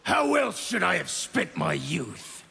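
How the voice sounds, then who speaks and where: An actor playing a proud, battle-hungry soldier in a video game, haughty and deliberate, with a very gravelly voice. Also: male voice, sits high, very animated, sharp tone, long reverb tail, full character voice. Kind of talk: video game villain banter.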